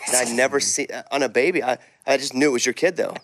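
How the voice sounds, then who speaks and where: A man hosting a podcast, asking questions in a loose, conversational way and wandering a little as he thinks. His pitch is medium and climbs when he stresses a point. The sound is close and dry, with no room echo. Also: fast, fairly bright tone. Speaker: podcast host. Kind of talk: casual interview chat.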